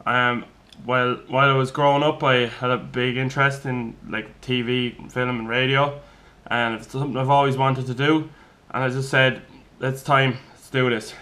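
A young Irish man in his twenties with a bright, forward voice, telling his story in a loose, relaxed way full of fillers and little laughs. He sits in a flat's kitchen and is picked up by a single room mic. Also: medium pitch, moderate pace, hardly any pauses. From Ireland. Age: 20s. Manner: easygoing, chuckles often, loose with fillers. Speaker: podcast host. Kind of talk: casual chat, telling his own story. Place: kitchen of a flat, indoors.

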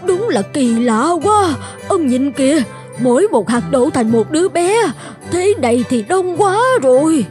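High-voiced, unhurried old cartoon wife. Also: female voice, music in the background. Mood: amazed. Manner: expressive, drawn-out exclamations. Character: elderly wife, longing, motherly, patient peasant. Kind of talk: cartoon fairy-tale dubbing.

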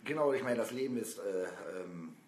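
A man's voice: medium pitch, expressive, normal speed, a little mellow. A man chatting casually on a live stream from a sofa, talking in loose, rambling sentences with many fillers. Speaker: band member. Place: small indoor room, seated on a sofa. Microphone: camera a few feet away. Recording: roomy with faint noise.

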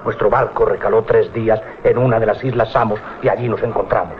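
A man acting a gruff, sceptical, mocking merchant sailor in a 1980s radio drama. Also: on the low side, steady tone, fairly quick, close to an everyday voice. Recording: dull old radio recording.

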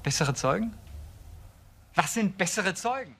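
A man's voice: high-pitched, expressive, a little slow, fairly bright tone, exaggerated voice. An actor playing a defiant, accusatory young man defending his brother in a German crime radio drama. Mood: defiant, accusing.

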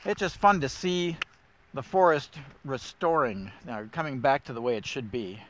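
A man's voice: medium pitch, normal speed, slight background noise. A middle-aged man guiding a casual walk through winter woods, enthusiastic and thinking aloud with plenty of fillers. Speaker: woodland steward host. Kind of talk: casual nature walk guide.

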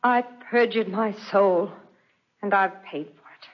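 Tormented aristocratic wife , female actor, 1940s radio drama. Formal, dramatic, somewhat husky, on a dull old recording. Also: mid-range voice, unhurried, lightly acted. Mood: haunted, remorseful. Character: anguished, guilty aristocratic wife telling her tale.

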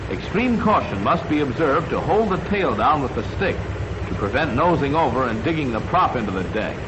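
1940s training film narrator: American male, measured and instructional, animated intonation, noisy archival sound. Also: mid-range voice. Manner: instructional, measured, clearly enunciated.

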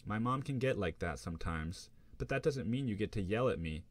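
A man's voice: on the low side, animated, fairly quick, no echo, pitched above the performer's own voice. A man voicing a young woman, the narrator's girlfriend, pleading and defensive in a storytelling reading. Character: young woman girlfriend, gentle but hurt.

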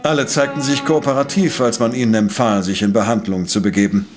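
An actor playing a shrewd, self-assured professor who investigates crimes in a German audio drama, with formal, elaborate phrasing. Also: male voice, on the low side, steady tone, brisk, over music, lightly acted. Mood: probing. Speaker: detective professor.

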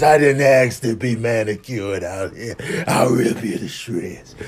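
A man voicing an irritated dog that grumbles drawn-out threats in a comic animal voiceover. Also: mid-range voice, creaky, darkish tone, breathy edge. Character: irritated dog getting claws trimmed, growling threats.

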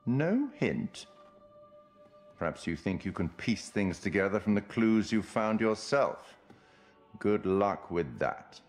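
An actor playing an enigmatic, sardonic curator of grim stories, speaking formally and wryly in a theatrical monologue. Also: male voice, lowish voice, very animated, soft background music. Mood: teasing, ominous. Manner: formal, wry, deliberate. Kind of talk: theatrical scripted monologue to the viewer.

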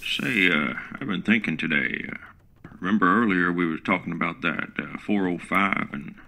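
A man's voice: low-pitched, moderate pace, vocal fry, bright, forceful tone, full character voice. A man voicing a folksy smart-home assistant with a country drawl, calling people partner. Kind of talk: comedic radio play acting.

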